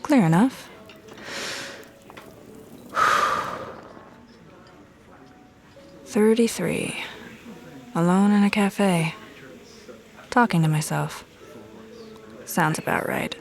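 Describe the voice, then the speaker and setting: Slow, sardonic detective in her thirties. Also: fairly low pitch, vocal fry, faint music behind, full character voice. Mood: weary, wry. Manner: dry, deadpan, curt. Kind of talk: naturalistic audio drama dialogue.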